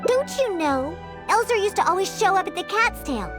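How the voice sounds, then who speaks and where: A woman's voice: very high-pitched, sing-song, normal speed, over music. A woman voicing a proud, grumpy young cat-girl bartender in a video game, haughty and disdainful in a childlike way. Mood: scornful, grumbling. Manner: haughty, curt, grumbling. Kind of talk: English video game voice acting.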